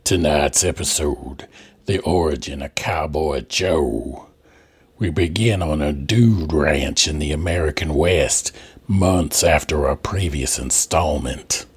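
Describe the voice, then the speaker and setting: A man announcing the next feature of a radio comedy show with a brisk, husky delivery. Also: extremely low pitch.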